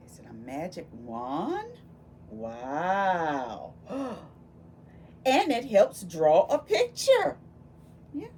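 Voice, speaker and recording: A woman reading a picture book aloud to young children, expressive and full of wonder, pausing to ask them questions. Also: lowish voice, a little hiss or hum. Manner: animated, exclaiming with gasps and wonder, addressing young children. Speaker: volunteer children's read-aloud storyteller.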